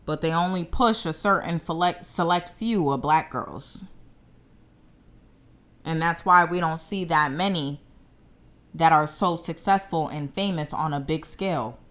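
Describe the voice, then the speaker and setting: A woman's voice: low voice, measured pace, a bit bright. A young woman from the United States hosting her own show, giving blunt, confident commentary straight to camera. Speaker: show host.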